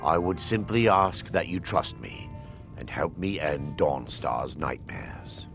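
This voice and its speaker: A grave priest from a fantasy video game. His very deep voice moves expressively through formal, measured phrases. He sounds earnest and soothing, with music playing behind him. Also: expressive, heavily acted. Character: grave, soothing male elven priest keeping secrets.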